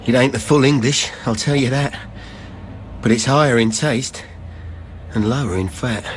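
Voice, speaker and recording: A man's voice: mid-range voice, normal speed, slight background noise, natural voice. A man playing a guilt-ridden former lab worker, giving a plain-spoken rhyming confession in a poetic radio drama. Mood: wry.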